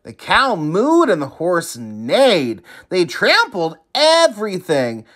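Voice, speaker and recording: A man reading a children's story aloud in an expressive, emphatic teacher's manner. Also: high-pitched, slow pace.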